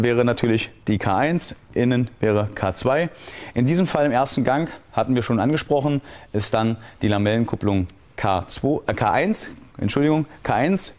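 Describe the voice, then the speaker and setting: A man giving an explanatory technical walkthrough as an instructor, often halting to search for the right term. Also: mid-range voice, a little mellow.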